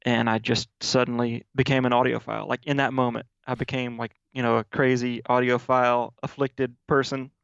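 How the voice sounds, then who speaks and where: A man from Mississippi, a guest in an interview, telling stories in a relaxed way with many fillers over a video call. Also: medium pitch, a little fast, gravelly, hardly any pauses, clear, resonant tone, no room sound, lo-fi sound. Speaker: guest, audio software developer.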